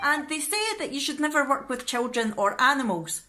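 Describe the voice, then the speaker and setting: A woman's voice: high voice, brisk, over a noisy crowd, heavy background noise. A woman in her thirties chatting casually and animatedly to camera as a vlogger in a living room, recorded at arm's length. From Scotland. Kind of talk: casual vlog chat to camera. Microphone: phone or camera at arm's length.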